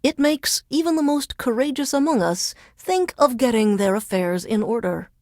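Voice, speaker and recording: A woman playing a sardonic female radio DJ in a video game, introducing songs in a deadpan, measured, formal announcer's delivery. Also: fairly high pitch, no echo, clean studio sound. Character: dry, sardonic female radio DJ under alien occupation.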